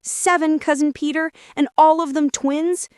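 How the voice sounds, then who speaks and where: A frantic father rabbit from a children's storybook, acted out by a woman, pitched well up high. He pleads urgently and exclaims in distress. The sound is close and dry. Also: fairly even intonation, measured pace, no echo. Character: Benjamin Bunny, frantic young father rabbit. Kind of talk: storybook character voice.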